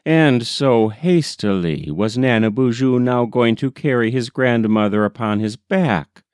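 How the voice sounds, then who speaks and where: Slow, animated male storyteller. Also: medium pitch, clear, resonant tone, dry recording, clean studio sound. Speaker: audiobook reader. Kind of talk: scripted storytelling narration of a folk tale.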